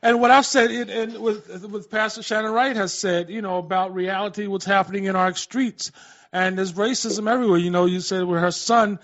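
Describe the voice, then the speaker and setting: An American man hosting a casual live radio talk, agreeable and halting, often repeating his phrases. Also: high-pitched, fairly even intonation, fairly bright tone. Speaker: radio host. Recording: dull, lo-fi radio sound.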